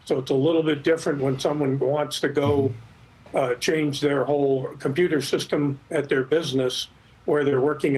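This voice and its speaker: A middle-aged or older man giving expert commentary in a news interview, explaining things in a measured, hesitant way. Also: medium pitch, darkish tone, breathy edge, rough recording. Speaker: expert guest, former air traffic controller.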